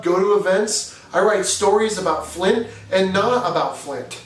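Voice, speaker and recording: New england guy, pretty high voice, earnest explainer talk, kinda roomy echo, sits back from the mic. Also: far from the mic. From New Hampshire. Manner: earnest, conversational, with occasional fillers. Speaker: video host. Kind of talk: scripted explainer narration.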